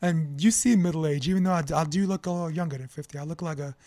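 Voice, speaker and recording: A man's voice: fairly high pitch, fast talker, warm tone, dry recording. An American man in his fifties hosting a podcast in casual chat, with a slangy, joking way of rambling into advice. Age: 50s. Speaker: podcast host.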